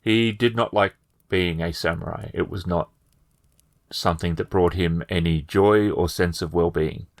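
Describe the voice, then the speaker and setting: A humble feudal village man, as a game master plays him, low and unhurried. He speaks plainly and formally, choosing words with careful deference, close and dry in a tabletop roleplay. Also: fairly even intonation, no room sound. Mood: somber, reflective. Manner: measured, formal, halting.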